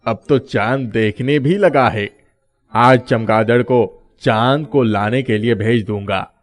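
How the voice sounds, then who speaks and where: A man acts out a sly, boastful thief who pretends to be mad. He speaks very slowly at a middling male pitch, in the manner of a storybook villain. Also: natural voice. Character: cunning, boastful male thief posing as a madman. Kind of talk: animated moral story dubbing.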